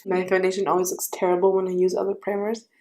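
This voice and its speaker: A young woman with a raspy voice giving a chatty makeup tutorial, correcting herself and offering friendly advice. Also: lowish voice, completely flat delivery, fast talker, mellow. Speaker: beauty vlogger.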